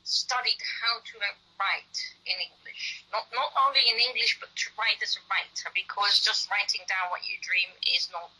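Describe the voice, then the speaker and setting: A woman's voice: mid-range voice, steady tone, moderate pace, few pauses, bright, forceful tone, husky, poor audio. A middle-aged woman with a Dutch accent, an author chatting casually and bluntly in an interview, often restarting her sentences. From Netherlands.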